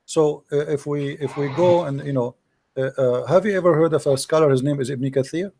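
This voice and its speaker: Brief: male debate host, warm low-key tone, lecturing a caller. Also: fairly quick. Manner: questioning, explains patiently, reads passages aloud. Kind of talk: live call-in debate, reading texts aloud.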